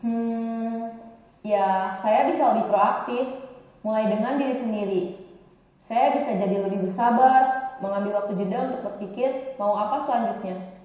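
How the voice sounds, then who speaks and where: Woman doing a polite sorry student, kinda high voice, big echoey hall. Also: moderate pace, warm tone, lightly acted. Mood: earnest. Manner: polite, answers briefly and respectfully. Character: adult woman playing a scolded student, calm and apologetic. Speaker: role-play student. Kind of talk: scripted teacher training role-play.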